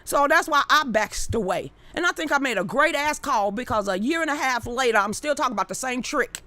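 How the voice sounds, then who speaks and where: A woman's voice: sits high, lively intonation, a little fast, bright, forceful tone, no echo. A woman, ranting bluntly and heatedly to her live-stream viewers with plenty of cursing. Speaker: live-stream political commentator. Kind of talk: unscripted live-stream rant.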